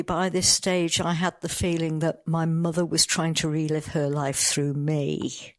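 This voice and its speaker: Woman guest, low dry voice. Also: no echo. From North Wales. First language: Welsh. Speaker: guest poet. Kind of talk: radio interview. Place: radio studio.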